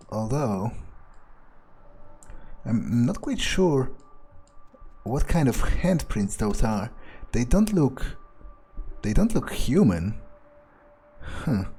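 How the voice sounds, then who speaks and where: A man's voice: mid-range voice, mellow, breathy edge, full character voice. A man playing a cold, thrill-seeking vampire vigilante, musing aloud in a sardonic way as he narrates a game. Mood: puzzled musing. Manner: musing, hesitant, sardonic, swearing. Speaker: gamer role-playing a character in a playthrough.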